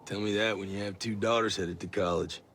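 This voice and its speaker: A wry, gravelly sniper. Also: male voice, on the low side, fairly even intonation, a little fast, a bit bright, slight background noise. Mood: dry. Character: wry male sniper, a father. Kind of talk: video game cutscene acting.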